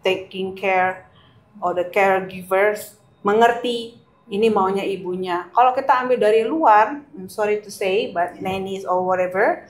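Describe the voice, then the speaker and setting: A woman's voice: mid-range voice, normal speed. Older lady talking family advice, earnest, repeats herself, kinda echoey room. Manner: earnest, advice-giving, repeats key points. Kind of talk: conversational podcast chat mixing languages.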